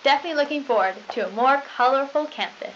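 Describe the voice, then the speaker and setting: A young woman playing a gushing, relentlessly upbeat high school news anchor with a chirpy, scripted delivery. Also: quick pace, fairly bright tone. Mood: forced cheer. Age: young adult. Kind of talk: scripted mock 1990s school news broadcast. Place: small studio news desk. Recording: dull, noisy lo-fi 1990s videotape.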